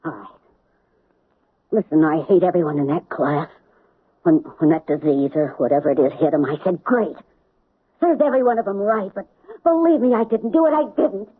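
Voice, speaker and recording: A woman's voice: lowish voice, animated, moderate pace, pauses often, husky. An actress playing a bitter, defensive woman accused of extortion in a 1970s radio drama. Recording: tinny, dry old radio broadcast.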